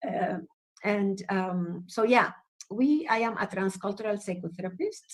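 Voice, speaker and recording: A woman from Venezuela, a psychotherapist guest in an interview, talking about her background in a lively, open way. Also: medium pitch, measured pace. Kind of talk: interview conversation.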